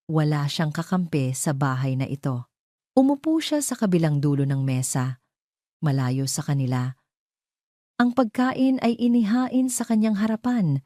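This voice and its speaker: A Filipino-accented woman narrates a romance audio drama. Her voice is low and mellow, recorded very dry. She tells the story in a measured, dramatic way, as a scripted storyteller. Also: no room sound.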